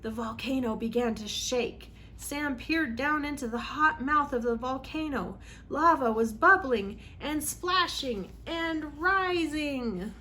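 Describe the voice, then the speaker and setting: A woman's voice: sits high, takes it slowly, slight background noise. A woman reading a storybook aloud for children in a dramatic, emphatic way. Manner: dramatic, emphatic on exciting moments. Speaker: read-aloud host for children.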